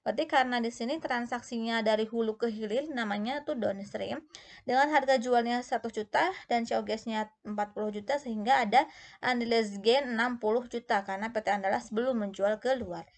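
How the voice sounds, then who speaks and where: Female instructor, higher-pitched voice, explains methodically in a lecture style. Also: a little fast. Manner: step-by-step, asks and answers own questions. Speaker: accounting instructor.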